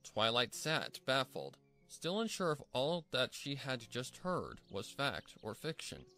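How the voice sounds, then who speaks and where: A man's voice: medium pitch, sing-song, a little slow, sharp tone, soft background music. A man narrating a scripted fan fiction story as a storybook narrator.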